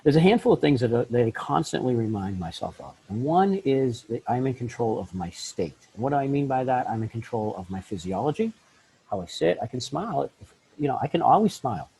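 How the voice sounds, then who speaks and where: A middle-aged man, a life coach, telling his story in an interview, often jumping into lively quoted voices. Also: brisk, slight background noise, rough recording. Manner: acts out quoted voices with big pitch jumps. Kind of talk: animated storytelling in a podcast interview.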